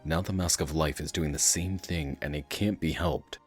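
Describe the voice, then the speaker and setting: A man playing an earnest, steady hero in an animated fan dub, speaking in a measured, sincere and encouraging way. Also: extremely low pitch, faint music behind. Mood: earnest, reassuring. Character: deep-voiced male fire hero, earnest and steady teammate.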